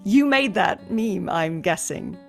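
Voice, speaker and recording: A woman hosting a casual interview, chatty and giggly, quick to agree with her guest. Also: mid-range voice, expressive, creaky, soft background music. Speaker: interview host.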